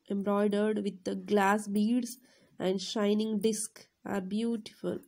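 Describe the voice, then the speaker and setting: Teacher with a cold, gravelly voice. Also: female voice, medium pitch, dark tone. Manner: fillers and checking questions to students. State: has a cold. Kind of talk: classroom lecture, reading then explaining.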